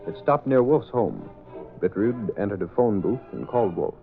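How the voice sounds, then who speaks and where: A man's voice: lowish voice, animated, normal speed. A man narrating an old-time radio serial as its announcer in scripted, vintage broadcast style. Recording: narrow old radio recording with music.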